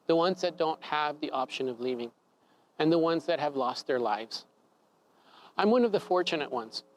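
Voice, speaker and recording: Guy telling his own story, slightly high voice, calm and thoughtful, faint noise behind. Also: poor audio. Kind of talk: personal story told live.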